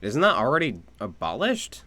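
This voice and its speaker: A man's voice: mid-range voice, huge pitch swings, normal speed, noisy background. A man giving casual live game commentary as a streamer, musing aloud. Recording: music behind the voice.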